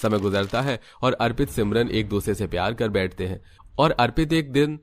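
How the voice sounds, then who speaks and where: A man's voice: fairly low pitch, normal speed. A man reading scripted narration for a cartoon story as the storyteller.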